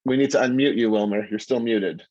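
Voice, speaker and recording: A man's voice: mid-range voice, a little fast, darkish tone, no room sound. Man, host, video call, earnest, muffled sound, dry. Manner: earnest, with frequent fillers and restarts. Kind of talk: online lecture introduction.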